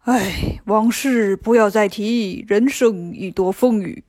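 A woman's voice: medium pitch, slow pace, darkish tone, no echo, clean studio sound, pitched below the performer's own voice. A woman voicing a boastful, self-pitying river monster in a children's storybook. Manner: swaggering, sighing theatrically.

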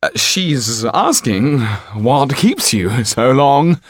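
A man's voice: mid-range voice, sing-song, very slow, no echo, pitched above the performer's own voice, heavily acted. A man voicing a long-winded, theatrical male actor who speaks in grand, flowery phrases. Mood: sly.

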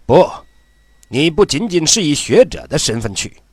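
An actor playing a stern military commander in an audio drama, husky and emphatic. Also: male voice, fairly high pitch, very animated, normal speed, dry recording, clean studio sound. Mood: stern, commanding.

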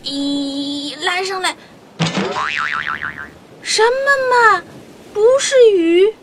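A cheerful little girl in a children's radio play, with a high, swooping voice. She speaks slowly and expressively over clearly audible background noise. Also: expressive, heavily acted. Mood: eager. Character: Anna, cheerful little girl fishing by the sea. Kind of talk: children's radio drama acting.

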